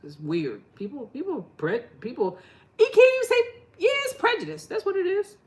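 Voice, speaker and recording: A woman's voice: sits high, wildly swooping pitch, moderate pace, a little mellow. A middle-aged woman from New York chatting casually to her gardening channel, rambling and laughing often. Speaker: gardening vlogger. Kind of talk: casual chat to camera.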